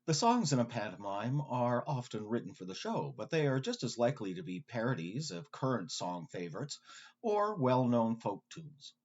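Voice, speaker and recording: A man narrates a prepared script in a slightly muffled recording. His pitch moves around in lively fashion, and he tells it with theatrical relish. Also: moderate pace. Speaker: podcast narrator. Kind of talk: scripted narration about pantomime.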